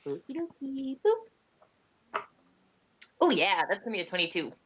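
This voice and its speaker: A woman's voice: mid-range voice, huge pitch swings, unhurried, a bit bright, breathy edge, muffled recording. A woman playing an online tabletop game, chatting casually with a playful manner.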